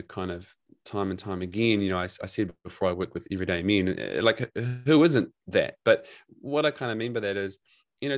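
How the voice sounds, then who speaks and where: A man with a New Zealand accent, a men's coach talking as a podcast guest in a relaxed, rambling way with many restarts. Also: on the low side. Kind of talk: casual podcast conversation.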